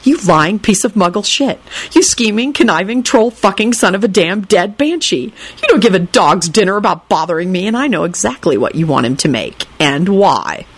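A scheming, hot-tempered male wizard, acted by a woman reader, intense and very animated in pitch. He is a storybook plotter who pleads and threatens by turns. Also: normal speed, breathy edge, dry recording. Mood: seething.

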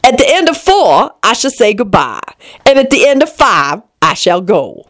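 Woman playing an imperious storybook queen, lively pitch. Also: normal speed. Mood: brisk, kindly. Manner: imperious, matter-of-fact. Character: brisk, bossy but good-natured chess queen. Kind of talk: storybook character voice.

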